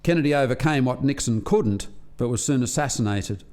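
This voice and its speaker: An actor playing an earnest alien lab scientist in a radio play, explaining things in a measured, articulate way. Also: male voice, medium pitch, expressive, darkish tone, close to an everyday voice. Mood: earnest, troubled. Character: earnest alien male lab scientist defending a failed experiment.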